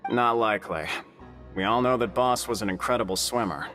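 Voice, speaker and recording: Game NPC: gruff gang veteran, male actor, lowish steady voice. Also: a little fast, over loud music, lightly acted.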